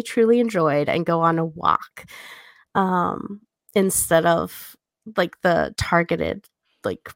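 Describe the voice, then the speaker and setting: A woman's voice: fairly low pitch, creaky, no echo, clean studio sound. A woman co-hosting a podcast, talking through a book in a casual, reflective, conversational way. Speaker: podcast co-host.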